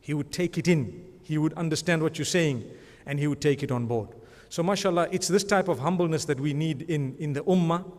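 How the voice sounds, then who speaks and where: A man's voice: on the high side, a little fast, darkish tone. A man presenting an award, speaking in a rambling, conversational way and often adding religious blessings. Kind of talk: speech at an awards ceremony. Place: large conference hall. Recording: long hall reverb.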